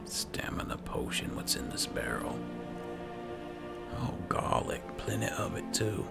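A man giving hushed, casual commentary as he role-plays through a video game, often dropping close to a whisper. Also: fairly low pitch, very animated, a little slow, gravelly. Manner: murmuring, often near-whispered. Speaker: gaming video host, role-player. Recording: game music behind the voice. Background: video game music.

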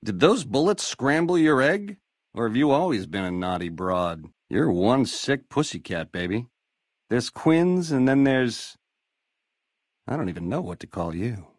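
An actor playing a slick, swaggering casino gangster, cocky and glib, in a video game full of 1950s lounge slang. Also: male voice, mid-range voice, very animated, measured pace, no room sound, studio quality. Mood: incredulous, wary.

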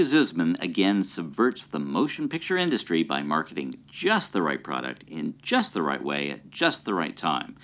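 Deep-voiced guy telling film history, super animated, kinda amused. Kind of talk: storytelling explanation.

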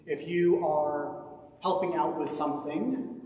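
Joking priest in an echoey church. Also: male voice, a little high, slow pace. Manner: relaxed, joking, rambling. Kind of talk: church announcements. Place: large church sanctuary. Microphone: far camera, handheld mic at times. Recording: echoey hall from far off, noisy.

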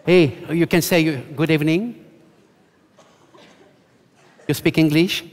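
A man's voice: a little high, fairly even intonation, slow pace. A man in his sixties with a French accent, playfully coaxing on a large theatre stage. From France. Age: 60s. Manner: playful, coaxing, broken English. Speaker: ventriloquist contestant. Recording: loud music and roaring crowd.

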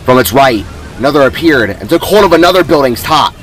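Animated man narrating a dramatic story. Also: medium pitch, brisk, soft background music, poor audio. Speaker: author narrating own story.

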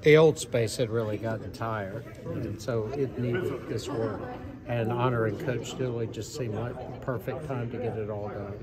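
A man in his sixties, a university president, giving brief, guarded answers in a calm tone in a ballroom. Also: mid-range voice, moderate pace. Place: large hotel ballroom, indoors. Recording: crowd chatter behind.

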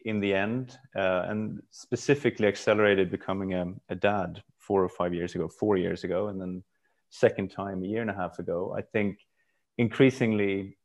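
Low-voiced man, reflective podcast guest. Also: steady tone, normal speed, a little mellow. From Stockholm, Sweden. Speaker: podcast guest, brand executive. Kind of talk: casual interview talk.

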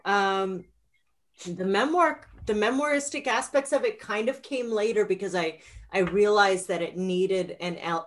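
A woman in her forties, an author answering questions conversationally on a video call from home. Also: lowish voice. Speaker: author guest. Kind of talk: conversational book-event Q&A. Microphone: video call computer mic.

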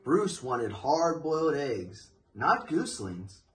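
Young male storybook reader, lively pitch, unhurried delivery, music underneath. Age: young adult. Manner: expressive, deliberate storytelling. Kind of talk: children's picture book read-aloud. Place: living room, indoors.

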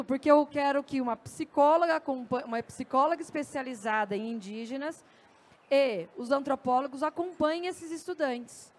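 A woman with a Brazilian accent giving a conversational, anecdote-filled talk on a festival stage into a handheld microphone. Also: high voice. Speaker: journalist and speaker.

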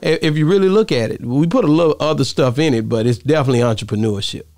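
A man's voice: medium pitch, expressive, fast talker, darkish tone, no echo, clean studio sound. A man in his thirties hosting a podcast, chatting casually in a laid-back, rambling way with many fillers. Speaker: podcast host. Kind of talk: casual interview chat. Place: podcast studio, indoors. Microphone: studio mic on arm, headphones.

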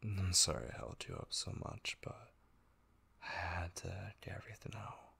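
A man playing a tender, devoted young gamer boyfriend in an ASMR roleplay, speaking softly, intimately and hesitantly with a husky voice. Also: low voice, moderate pace, full character voice. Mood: loving, grateful. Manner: soft, hesitant, intimate, with murmured asides. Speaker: ASMR voice actor.

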